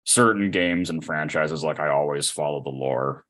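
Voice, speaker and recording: Man, deep low pitch, casual podcast guest, halting explanatory delivery. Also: fairly bright tone.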